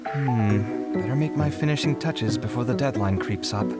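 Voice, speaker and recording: An actor voicing a dramatic, earnest young male architect in an anime game, in a theatrical, expressive way. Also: mid-range voice, moderate pace, natural voice. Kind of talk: anime game character demo voice acting. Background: loud music from game trailer.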